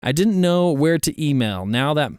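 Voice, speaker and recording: A man's voice: a little high, lively intonation, normal speed, darkish tone, clear, resonant tone, dry recording, clean studio sound. A man co-hosting a casual podcast, reading out listener questions and laughing often. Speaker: podcast co-host. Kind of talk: casual chat.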